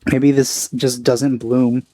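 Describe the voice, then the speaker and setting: A man giving casual, low-key commentary as he plays a game, musing aloud. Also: flat delivery, takes it slowly, mellow. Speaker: gameplay streamer. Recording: game music behind.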